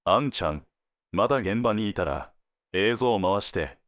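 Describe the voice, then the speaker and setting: A man playing a world-weary senior detective in an audio drama, laconic and deliberate, given to dry teasing jokes. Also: on the low side, lively intonation, a bit bright, no echo. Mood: wry, businesslike. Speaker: police detective at headquarters.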